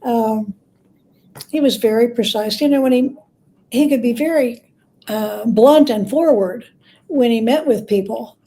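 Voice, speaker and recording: A woman with a very mellow, slightly high voice speaks as an interview guest. She is a biographer who explains and tells anecdotes in a conversational style. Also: a little slow, long pauses, low-quality recording.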